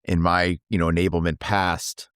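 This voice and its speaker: A man's voice: sits low, level tone, normal speed, fairly bright tone, no echo, clean studio sound. A man co-hosting a sales podcast, talking in an emphatic, conversational way, with a slight cold. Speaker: co-host, sales trainer. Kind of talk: casual podcast chat.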